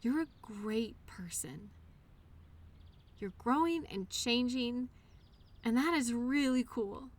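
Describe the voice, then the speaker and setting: Woman acting a high-voiced, encouraging imaginary friend. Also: takes it slowly, long pauses. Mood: gentle, reassuring. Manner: earnest, reassuring, gently encouraging. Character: upbeat, loyal childhood imaginary friend, tenderly encouraging. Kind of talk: one-sided audio roleplay, intimate scripted conversation.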